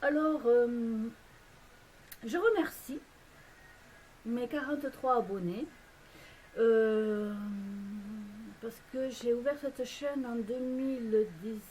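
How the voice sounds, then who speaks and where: A woman's voice: on the high side, slow pace, pauses often, dark tone, slight background noise, poor audio. A woman from France with a French accent, chatting casually to camera in a rambling, hesitant way with many fillers and restarts. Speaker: vlogger. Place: home in a Belgian village.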